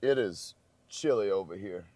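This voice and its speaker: A man's voice: low-pitched, expressive, moderate pace, a little mellow. A man who is losing his voice, chatting casually and rambling in a parked car. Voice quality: crackly voice. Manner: rambling, easygoing. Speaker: travel vlogger. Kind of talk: casual chat.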